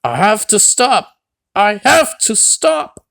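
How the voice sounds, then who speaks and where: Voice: mid-range voice, big pitch swings, takes it slowly, creaky, fairly bright tone, dry recording, studio quality, pitched above the performer's own voice, exaggerated voice. A reader voicing a cheeky, stubborn little male tank engine in a children's storybook. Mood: alarmed, puffed. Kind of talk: children's storybook character reading.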